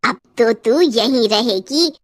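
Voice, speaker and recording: Woman doing a fawning stepmom from a cartoon, high voice, gushy drawn out words, scheming. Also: animated, takes it slowly, a little hiss or hum. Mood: gushing, fawning.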